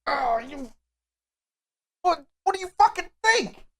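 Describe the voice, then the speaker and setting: A man playing a wounded young male vampire, bitter but submissive, speaking in a halting, sullen way. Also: extremely high pitch, sing-song, gravelly, pushed and bright, dry recording, clean studio sound, voice pushed up, full character voice. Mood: pained, angry.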